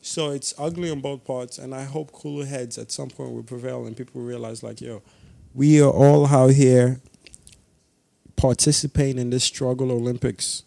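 Loud gravelly young man, casual banter. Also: medium pitch, normal speed, no echo. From Ghana. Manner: loud, animated, slangy. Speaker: podcast co-host.

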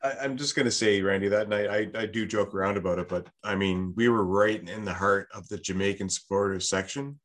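A man's voice: fairly low pitch, a little fast, few pauses, fairly bright tone. A man on a sports talk panel, speaking earnestly and often restarting his sentences. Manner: earnest, repeats words when starting. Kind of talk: casual sports chat.

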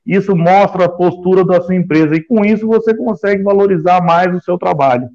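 A man's voice: sits high, fairly quick, a little mellow. A man giving an earnest, opinionated view in casual talk on a live stream. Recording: dull lo-fi audio.

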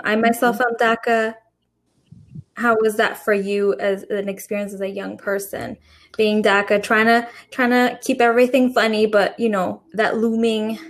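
A woman's voice: mid-range voice, level tone, moderate pace. A woman hosting a casual interview, asking friendly questions with many fillers. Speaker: podcast host.

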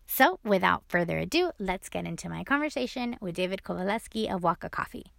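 A woman hosts a food podcast with a cheerful, enthusiastic delivery. Her voice is bright and clear, recorded close with no room sound at all. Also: mid-range voice, big pitch swings, brisk, dry recording. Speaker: podcast host. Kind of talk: scripted intro then interview.

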